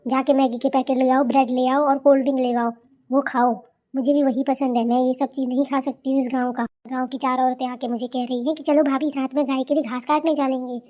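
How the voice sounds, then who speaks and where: A woman's voice: high voice, level tone, a little fast, warm tone, close to an everyday voice. A woman playing a brash, lazy young city bride in a cartoon, scornful, bossy and full of complaints. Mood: defiant, scornful. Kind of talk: cartoon character dialogue.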